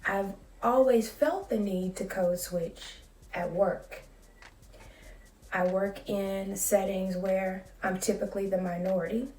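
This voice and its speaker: Woman, late 30s, soft calm vlogger voice, slow and mellow, echoey room, a bit off-mic. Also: mid-range voice, far from the mic. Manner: calm, measured and reflective. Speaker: school psychologist. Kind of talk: casual talking-to-camera vlog.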